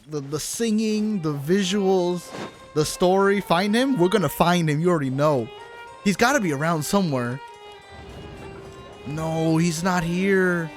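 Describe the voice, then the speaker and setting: A man with a slightly high voice talks casually as a live game streamer. He is enthusiastic and rambling, often repeating himself, with clear game music behind him. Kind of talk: casual livestream chat and reaction.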